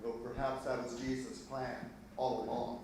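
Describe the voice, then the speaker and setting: A man's voice: mid-range voice, monotone, normal speed, a little mellow, close to an everyday voice. A man playing a knowing, corrective senior disciple in an amateur dramatic reading. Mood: knowing, measured. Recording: echoey, distant, noisy hall.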